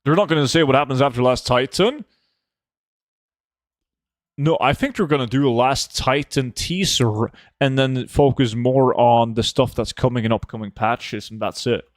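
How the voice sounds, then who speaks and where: A young man streaming a game, chatting casually and answering viewer questions in a relaxed, offhand way. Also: mid-range voice, moderate pace, dry recording, clean studio sound. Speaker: gaming streamer reading chat. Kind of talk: casual live gameplay chat.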